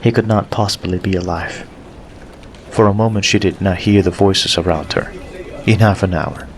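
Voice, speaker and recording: A man's voice: deep voice, steady tone, normal speed. A man narrating an audiobook story in a scripted reading style.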